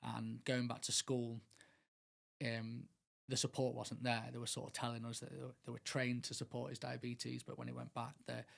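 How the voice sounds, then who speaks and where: A middle-aged man talks as a podcast guest, earnest and rambling, often restarting his sentences. His voice has a gravelly fry to it. He keeps his pitch fairly level throughout. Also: monotone, normal speed. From England. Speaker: guest, mental health speaker. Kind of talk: casual podcast interview.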